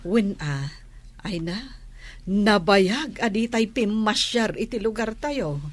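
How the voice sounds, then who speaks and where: A woman with a husky voice playing a caring older mother in a drama. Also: mid-range voice, lively intonation, takes it slowly, no room sound. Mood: chatty. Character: caring older mother, chatting with child and friend. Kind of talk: Filipino radio-style drama dialogue.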